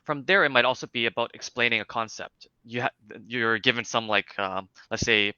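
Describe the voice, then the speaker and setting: A man's voice: medium pitch, brisk, bright, forceful tone, clear, resonant tone, no echo, highs cut off. A young man with a light Cantonese accent, explaining things in a casual interview with lots of fillers and restarts. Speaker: interview guest, speech clinic founder.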